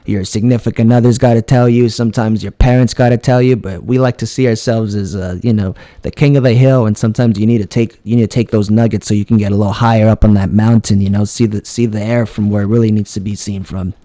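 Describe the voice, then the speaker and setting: A man's voice: on the low side, steady tone, quick pace, few pauses, mellow, dry recording. A man hosting a podcast interview in a casual way, affirming his guest and asking open questions. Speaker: podcast host.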